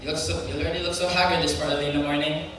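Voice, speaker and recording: A man's voice: on the high side, little pitch movement, racing, natural voice. A teenage boy acting a frustrated, pushy older brother on a school stage, needling and resentful. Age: teens. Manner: needling, drawls when annoyed. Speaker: student actor. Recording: echoey hall, far from the mic, noisy.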